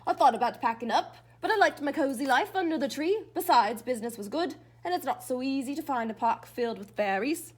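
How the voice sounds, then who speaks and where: A woman's voice: high voice, expressive, a little fast, few pauses, breathy edge. A woman voicing a grumpy, suspicious, boastful old male leprechaun telling his own storybook tale dramatically. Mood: matter-of-fact.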